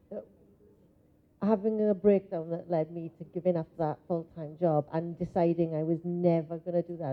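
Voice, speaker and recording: Low-voiced woman, muffled lo-fi sound. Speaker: audience member. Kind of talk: spontaneous personal testimony. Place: conference hall. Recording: muffled lo-fi live hall recording.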